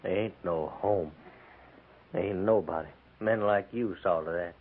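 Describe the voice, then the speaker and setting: A man's voice: sits low, measured pace, a little hiss or hum. An American man playing a bitter, defiant Confederate prisoner turned Union soldier in a 1950s radio drama, speaking sullenly in blunt, folksy frontier grammar. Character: bitter, defiant captured Confederate cavalryman pressed into Union service. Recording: tinny, band-limited 1950s radio recording.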